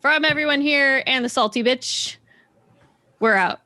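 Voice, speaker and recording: A woman's voice: high voice, measured pace, pushed and bright, a little hiss or hum. A woman hosting a casual group podcast, playful and often chipping in. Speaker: podcast host. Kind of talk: casual chat.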